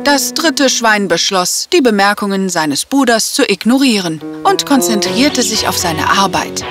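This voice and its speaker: Female narrator, children's fairy tale, expressive rise and fall, music bed underneath. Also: mid-range voice, a little slow. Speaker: storyteller, narrator.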